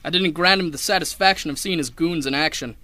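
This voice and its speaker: A young man playing a hard-boiled, wry detective who narrates a 1930s noir radio drama in a deadpan way. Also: fairly high pitch, fast, no echo. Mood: wry narration. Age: young adult. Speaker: narrator and lead actor.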